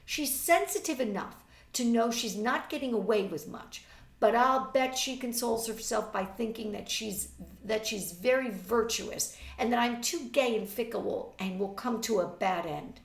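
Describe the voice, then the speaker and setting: A woman's voice: on the high side, a little slow, vocal fry, voice pushed up. Woman playing an exasperated, catty teenage girl, roomy sound. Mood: catty, impatient. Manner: emphatic, scornful. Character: exasperated, catty eighteen-year-old society girl, impatient and dramatic. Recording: roomy, distant webcam microphone.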